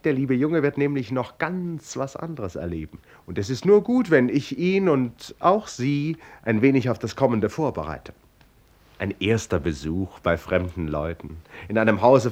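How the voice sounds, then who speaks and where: A man narrating a radio play in an ironic, teasing manner, speaking as if directly to listeners and characters. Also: fairly low pitch. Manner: ironic, teasing, chuckling asides.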